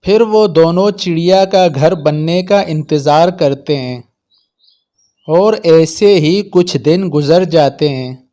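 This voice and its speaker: A man's voice: fairly high pitch, slow pace, dark tone. A man reading scripted narration for a children's animal story.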